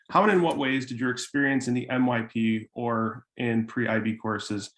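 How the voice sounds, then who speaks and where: A man's voice: mid-range voice, a bit bright. A man moderating an online student panel, speaking with frequent ums and hesitant restarts. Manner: hesitant, many fillers.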